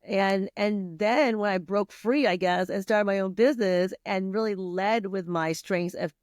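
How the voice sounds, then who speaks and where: A woman's voice: mid-range voice, a little slow, a little mellow, dry recording. Middle-aged woman from vietnam chatting on a podcast, says like a lot, dry close sound. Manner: chatty, many fillers and restarts, laughs often. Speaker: podcast guest. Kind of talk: casual conversational interview.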